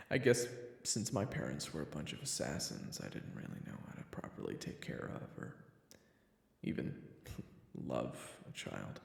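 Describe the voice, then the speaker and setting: A man playing a weary, haunted assassin and new father in an audio roleplay, with a deep gravelly voice and a dry, halting, confessional delivery. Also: exaggerated voice. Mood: tired, awkwardly tender. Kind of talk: first-person audio roleplay narration. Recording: echoey, long reverb.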